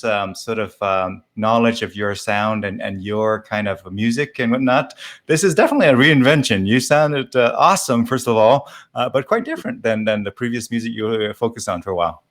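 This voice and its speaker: A man's voice: mid-range voice, fairly bright tone. A man hosting a casual interview, complimentary and picking his phrases with hesitation. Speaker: interview host.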